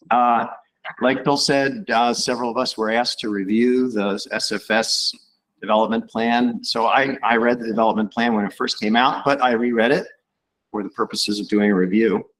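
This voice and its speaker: A man's voice: on the low side, fairly bright tone, slight background noise, low-quality recording. A man with a head cold giving a conversational conference talk as a reviewer, with a wry, informal manner. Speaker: invited reviewer at a workshop.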